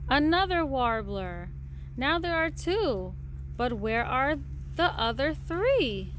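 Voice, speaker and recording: A woman reading a picture book aloud to a small child in a patient, sing-song way, pausing to count and point. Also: high-pitched, very animated, fairly bright tone, slight background noise.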